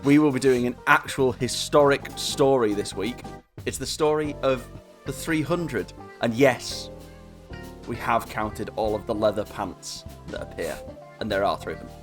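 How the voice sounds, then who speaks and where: A man's voice: medium pitch, a little slow, soft background music. A young man co-hosting a casual podcast, rambling and laughing as he drifts into tangents. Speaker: podcast co-host.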